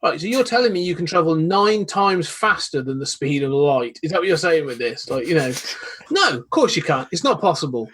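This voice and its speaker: A man talks on a podcast in a loose, animated way, circling back on himself as he builds his point. His voice sits a little high and sounds husky. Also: a little fast. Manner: rambling, animated, many restarts. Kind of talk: casual podcast chat.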